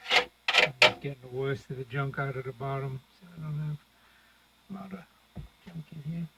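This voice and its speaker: A man's voice: mid-range voice, moderate pace. A man giving casual, unscripted commentary as he demonstrates a hands-on repair, murmuring through each step as he works. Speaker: host of a radio restoration channel. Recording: dull lo-fi audio with loud noise, clicks.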